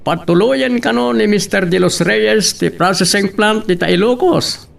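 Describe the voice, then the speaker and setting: A man acting in an Ilocano radio drama as a guarded businessman and father, speaking haltingly. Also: sits high, gravelly, far from the mic, heavily acted. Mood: businesslike, hesitant.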